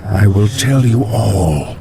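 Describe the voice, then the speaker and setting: A man playing a secretive, ominous undead necromancer in a video game, with loud music behind him. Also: on the low side, animated, takes it slowly, vocal fry, background noise, heavily acted. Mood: secretive warning.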